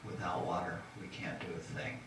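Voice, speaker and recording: A man makes an earnest, measured forum speech in a low voice. He is heard from a distance in an echoey room. A faint hiss sits underneath. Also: flat delivery, a bit bright.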